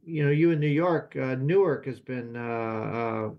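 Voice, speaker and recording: A man speaking as an expert guest in an interview, informal and halting as he explains. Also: medium pitch, vocal fry, dull audio. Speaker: expert guest, aviation professor.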